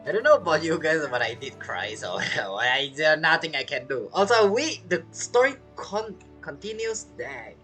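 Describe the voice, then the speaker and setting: Lively casual game streamer woman. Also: deep voice, moderate pace, hardly any pauses, soft background music. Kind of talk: casual gameplay commentary.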